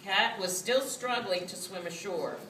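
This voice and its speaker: A woman reading a children's story as scripted narration, with an expressive storytelling delivery. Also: medium pitch, measured pace. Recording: roomy, distant mic, faint noise.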